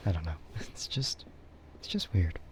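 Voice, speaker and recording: A soft-spoken man streaming casual, rambling ASMR, often slipping into a half-whisper close to the microphone. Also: sits low, slight background noise. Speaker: VTuber livestreamer.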